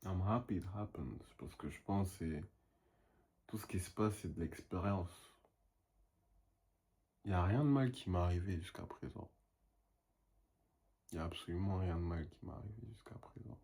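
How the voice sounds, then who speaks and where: A man's voice: low voice, normal speed, pauses often, mellow, breathy edge. A young man and painter talking in a casual vlog monologue, rambling and self-motivating, dropping English phrases now and then.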